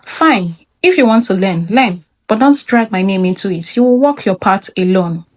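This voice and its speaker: A woman acts out a disciplined father and retired sergeant in a storybook tale. He is stern and grave, speaking with calm authority. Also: medium pitch, normal speed, lightly acted. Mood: grave resignation.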